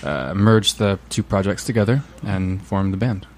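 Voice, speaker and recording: A man from a band telling how the group formed, relaxed and full of fillers. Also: sits low, normal speed. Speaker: band member. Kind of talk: casual interview answers.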